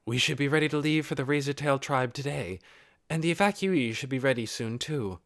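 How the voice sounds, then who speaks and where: A man's voice: medium pitch, a little fast, fairly bright tone, no echo, clean studio sound, pitched above the performer's own voice, close to an everyday voice. A man voicing a young female lizardfolk chief for an audiobook, polite, earnest and cheerful in manner. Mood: brisk, friendly. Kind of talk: audiobook character acting.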